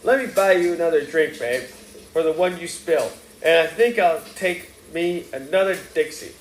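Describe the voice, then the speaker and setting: A man's voice: on the high side, normal speed, reverberant, a little hiss or hum, voice pushed up, lightly acted, poor audio. A man reading aloud and voicing a chatty, doting middle-aged Southern mother in a gushing, folksy way. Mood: doting chatter.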